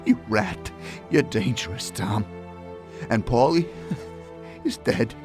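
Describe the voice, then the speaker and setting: An actor voicing a bitter, dying male gangster in a video game cutscene who taunts his former friend with a slow, pained delivery. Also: medium pitch, very animated, over music. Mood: taunting. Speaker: game character.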